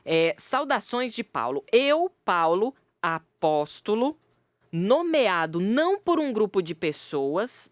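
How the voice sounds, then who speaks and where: A woman's voice: mid-range voice, dry recording. A woman co-hosting a radio podcast, chatting casually about the Bible and laughing often. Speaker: podcast co-host. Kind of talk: casual Bible study chat. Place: radio studio.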